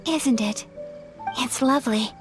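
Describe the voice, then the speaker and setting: A young woman voicing a gentle, refined noblewoman in a game, speaking softly, formally and politely. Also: sits high, measured pace. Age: young adult. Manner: soft-spoken, formal and polite. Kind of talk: game voice acting. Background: game music.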